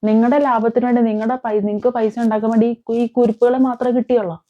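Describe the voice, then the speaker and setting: A woman's voice: fairly high pitch, flat delivery, racing, dull audio. A woman giving emphatic, opinionated commentary on crime as a vlog host, repeating phrases and posing rhetorical questions. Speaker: vlogger, host.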